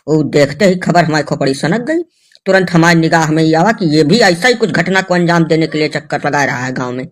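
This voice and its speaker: Man acting an agitated, high-voiced village man. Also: animated, fairly quick, natural voice. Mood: defiant. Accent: North Indian rural Hindi. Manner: ranting, emphatic, rustic. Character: agitated, stubborn village man arguing at police station. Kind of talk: comedy cartoon dialogue.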